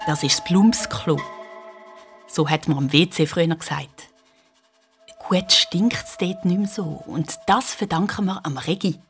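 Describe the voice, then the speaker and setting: A woman's voice: on the low side, a bit bright. A woman narrating a children's radio play with a Swiss German accent, explaining things like an engaging storyteller. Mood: chatty storytelling.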